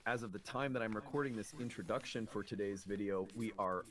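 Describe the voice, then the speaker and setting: A man's voice: fairly low pitch, fairly quick, a bit bright. A man hosting a conversational astrology show, explaining things in an earnest way. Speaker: show host.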